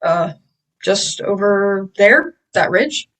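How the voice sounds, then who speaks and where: A woman playing a nervous, awestruck young male mechanic trainee in a drama table read, hesitant and halting. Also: medium pitch, lively intonation, fairly bright tone, poor audio. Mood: nervous, shaken. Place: online video call table read.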